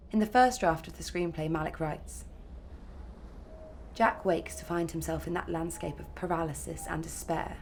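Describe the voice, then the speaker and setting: A woman's voice: fairly low pitch, darkish tone, a little hiss or hum. A woman reading a scripted video essay as its narrator.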